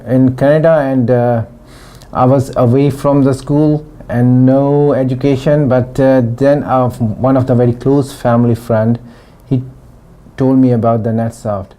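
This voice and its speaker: A middle-aged man from Pakistan giving a testimonial interview about his training, talking in a halting way with frequent restarts. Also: medium pitch, dark tone. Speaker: former student giving a testimonial.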